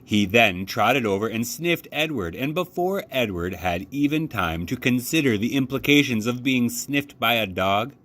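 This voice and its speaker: A man reading a children's book aloud as a storytime host, with measured, deliberate phrasing and dramatic emphasis. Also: on the low side, a bit bright.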